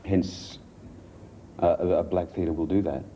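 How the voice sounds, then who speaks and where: A man giving considered, earnest, somewhat halting interview comments. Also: low voice, steady tone, dark tone, slight background noise. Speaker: interviewee on theatre.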